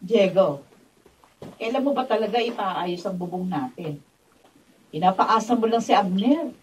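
A middle-aged actress plays a nagging but sensible Filipino wife in a radio drama. Her pitch is fairly high and very animated. The recording is distant, with clear noise behind her. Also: unhurried, close to an everyday voice. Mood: reproachful. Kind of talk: Tagalog radio drama acting.

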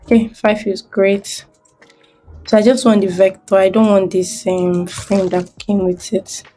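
A woman's voice: medium pitch, flat delivery, measured pace, mellow, a little hiss or hum. A woman giving a screen-recorded design tutorial, thinking aloud step by step as she works.

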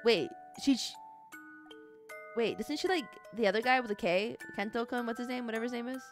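A woman's voice: fairly high pitch, fairly quick. A woman streaming casually, reading a visual novel aloud and reacting with blunt, sweary exclamations. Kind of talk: casual co-op stream chat and read-aloud narration. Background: soft game music.

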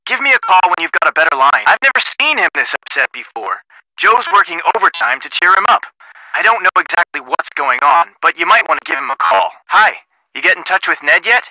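A sensible young American detective on a call in a video game. His voice is fairly high and very bright, with animated, wry delivery. The sound is close and a little dull. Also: a little fast, dry recording, exaggerated voice. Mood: friendly. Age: young adult. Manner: wry, conversational. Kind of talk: video game phone dialogue.

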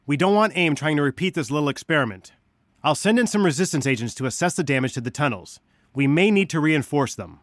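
An actor playing an earnest scientist who leads a resistance, guiding heroes through video game missions in a measured, dryly wry way. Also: male voice, a little high, lively intonation, no echo, clean studio sound, natural voice. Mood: calm, wry.

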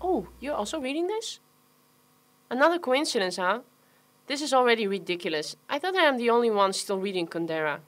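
A woman acting an expressive, wary and outspoken young woman in radio play dialogue. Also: on the high side, fairly quick, a little hiss or hum. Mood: wry.

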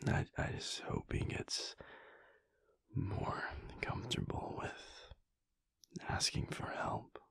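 Man playing a hushed, whispering, shy boyfriend. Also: takes it slowly, no echo. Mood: shy, earnest. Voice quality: breathy, husky whisper. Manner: hesitant, stammering, gentle. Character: soft, shy, unsure young male werewolf boyfriend. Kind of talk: intimate audio roleplay, ASMR.

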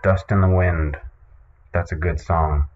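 A man hosting a comedic podcast in a casual, deadpan and hesitant way, on a muffled recording. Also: sits low, a little slow, noisy background. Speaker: podcast host. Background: music.

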